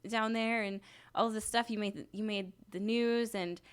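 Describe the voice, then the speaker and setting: A woman's voice: fairly high pitch, steady tone, measured pace, clean tone. A woman with an American accent chatting casually and informally as a guest on a talk show. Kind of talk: casual chat. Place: radio studio.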